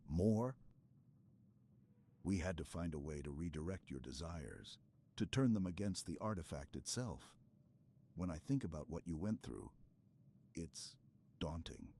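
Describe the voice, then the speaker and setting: A man playing a stoic, earnest super-soldier in an intimate sci-fi ASMR roleplay, speaking in a halting, hushed way with dramatic pauses. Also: low-pitched, expressive, a little hiss or hum. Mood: weary, sincere.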